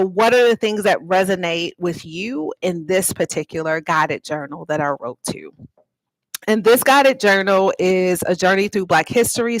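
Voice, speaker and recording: A woman's voice: medium pitch, no echo, poor audio. A woman talking informally to livestream viewers as an author and host, explaining things and inviting them to respond. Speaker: author and host of a live read-aloud.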